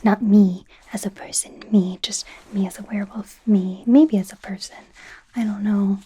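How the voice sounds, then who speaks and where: Casting: woman as young female werewolf med student for whispered ASMR roleplay; very breathy, mellow, awkward, eager and kind. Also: mid-range voice, steady tone, moderate pace. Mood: rambling, self-conscious. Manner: hesitant, rambling, self-conscious overthinker, gentle.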